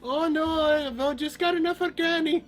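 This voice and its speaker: Man doing a tiny little girl, squeaky falsetto, sing-song, storybook, soft music. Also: fairly even intonation, normal speed. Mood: polite.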